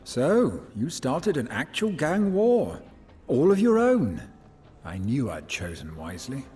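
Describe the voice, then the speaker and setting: Wry, lively-voiced bounty hunter ally. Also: male voice, mid-range voice, takes it slowly, faint music behind. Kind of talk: video game voice acting.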